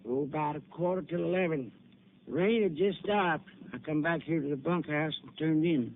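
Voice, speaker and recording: A laconic ranch hand who answers in an unhurried drawl. His voice sits fairly high. The sound is a thin, tinny old radio broadcast with a little hiss. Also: vocal fry. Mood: calm. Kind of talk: 1950s radio drama acting.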